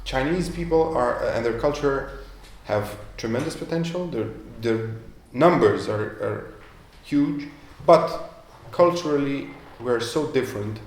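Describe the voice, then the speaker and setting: A man's voice: mid-range voice, unhurried, few pauses. A man answering questions as a guest entrepreneur in a live interview, speaking with many fillers and restarts. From Romania. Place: event room. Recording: echoey room with faint ambience.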